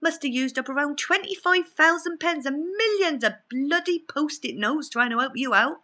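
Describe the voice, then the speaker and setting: A woman's voice: high voice, lively intonation, moderate pace, bright, forceful tone, voice pushed up. A woman voicing a meddling imaginary personality who is earnest, preachy and fussy, in a storybook reading. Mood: chatty, indignant. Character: meddling, chatty imaginary inner personality, preachy spiritual adviser.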